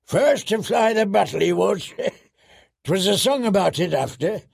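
A man's voice: sits high, lively intonation, unhurried, creaky, breathy edge, pitched above the performer's own voice, exaggerated voice. A man voicing a boisterous, crude wildling warrior chieftain in an audiobook, blustering and bawdy. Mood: bawdy, amused.

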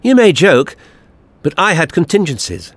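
A man's voice: a little high, big pitch swings, moderate pace, no echo, voice pushed up. A man voicing a scheming, gloating alien villain in an audiobook story. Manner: gloating, indignant.

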